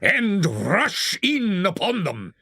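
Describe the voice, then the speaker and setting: A reader voicing a steady, authoritative older male badger in a storybook. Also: medium pitch, breathy edge, dry recording, studio quality, voice pushed up, heavily acted. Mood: commanding. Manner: measured, authoritative.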